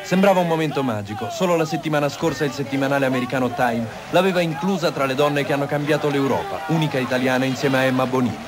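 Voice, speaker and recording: A man's voice: mid-range voice, quick pace, hardly any pauses. Male news reporter on a noisy old tape. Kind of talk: scripted TV report narration.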